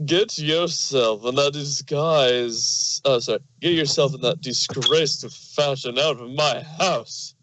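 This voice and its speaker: A man plays a fussy, fashion-minded lady pony in a medium-pitched male register. The delivery is prim, haughty and indignant, as in a cartoon fan drama. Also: takes it slowly, hardly any pauses, noisy background, natural voice. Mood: irritated. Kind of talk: fan fiction audio drama.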